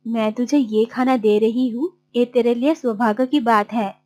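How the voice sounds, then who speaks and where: A woman's voice: on the high side, steady tone, measured pace, mellow, natural voice. A woman voicing a stingy, bossy village aunt in a children's cartoon, haughty and scolding. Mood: scornful, scolding. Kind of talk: children's cartoon story voice acting.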